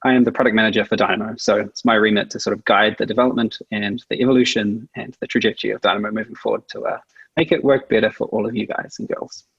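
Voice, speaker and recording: Kiwi accent guy, fast and husky, chatting as an expert guest, dry slightly muffled audio. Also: on the low side, fairly even intonation, fairly bright tone, no echo. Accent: New Zealand. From New Zealand. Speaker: guest expert, product manager. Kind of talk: casual explanatory interview talk.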